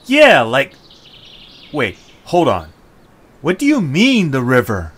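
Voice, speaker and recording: A man's voice: medium pitch, wildly swooping pitch, slow, a bit bright, slightly rough, pitched above the performer's own voice, exaggerated voice. A man playing an earnest, exasperated young leader in a fantasy audio adventure. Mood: exasperated, surprised.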